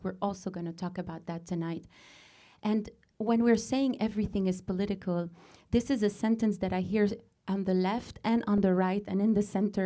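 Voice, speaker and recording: A woman in her forties from Lebanon, a native Arabic speaker, hosting a show and opening it with an earnest, deliberate monologue full of pauses and restarts. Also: medium pitch, warm tone. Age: 40s. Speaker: host. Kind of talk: opening monologue to a live audience.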